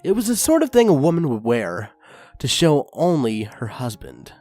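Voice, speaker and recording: A man narrating a fan fiction story aloud in a steady, expressive reading voice. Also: fairly high pitch, normal speed, faint music behind.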